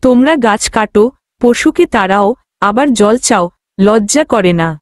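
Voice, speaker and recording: A woman's voice: mid-range voice, expressive, a little slow, no room sound, studio quality, close to an everyday voice. A woman voicing a stern but fair forest goddess in a fairy tale cartoon, with a commanding manner. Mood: stern, reproachful.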